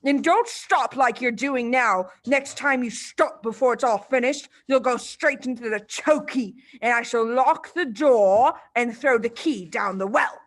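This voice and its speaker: A woman voicing a furious, tyrannical headmistress in a storybook reading, shouting and barking orders. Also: fairly high pitch, a little slow, few pauses. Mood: menacing, commanding.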